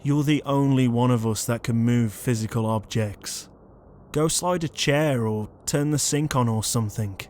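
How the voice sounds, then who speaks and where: A man's voice: medium pitch, normal speed, a little mellow, slight background noise, lightly acted. A man playing a weary, sarcastic young ghost who leads a haunting trio in an audio roleplay. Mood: exasperated, dry. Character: exasperated young ghost leader, reluctant protector, named Liam.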